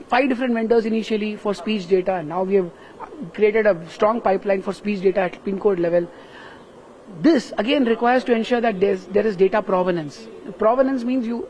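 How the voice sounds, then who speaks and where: A man's voice: sits high, a little mellow, rough recording. Indian professor guy explaining stuff, keeps saying "right?", faint event hum behind. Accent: Indian English. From Kerala, India. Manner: earnest, explains step by step with frequent "right?" tags. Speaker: professor interviewed at a tech summit. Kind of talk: explanatory interview answers. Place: busy summit venue.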